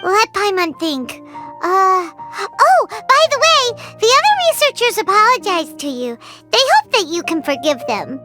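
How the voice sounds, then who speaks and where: A woman's voice: very high voice, sing-song, a little slow, pushed and bright, heavily acted. A woman voicing a tiny, chatty fairy companion who refers to herself in the third person, in a game cutscene. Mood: excitable. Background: game music.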